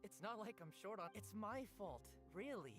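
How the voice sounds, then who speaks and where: Woman voicing a flustered, animated young paper seller. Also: medium pitch, moderate pace, music in the background, lightly acted. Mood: flustered apology. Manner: hesitant, rambling, nervously polite. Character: flustered, apologetic young newspaper seller, eager to please. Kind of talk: video game voice acting.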